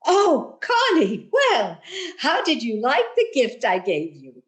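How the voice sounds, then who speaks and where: A woman's voice: high voice, wildly swooping pitch, takes it slowly, full character voice. A woman playing a fussy, well-meaning, scatterbrained landlady in a vintage radio sitcom style. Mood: gushing.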